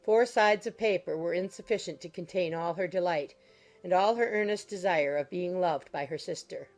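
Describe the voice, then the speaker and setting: A woman's voice: fairly low pitch, moderate pace, a little mellow. A woman giving scripted narration of a period novel as an audiobook narrator. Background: music.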